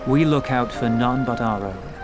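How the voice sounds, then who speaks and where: An actor playing a cynical, world-weary pirate in a video game, speaking in a wry, measured way. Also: male voice, mid-range voice, loud music behind the voice, natural voice. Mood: sardonic, resigned.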